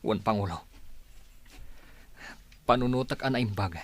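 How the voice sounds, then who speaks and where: A man's voice: lowish voice, unhurried, very noisy, close to an everyday voice. A man with an Ilocano accent playing a torn, reluctant husband and rebel in a radio drama. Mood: torn, obedient. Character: Edgar, torn rebel group member, reluctant husband and father. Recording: music under the voice. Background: music.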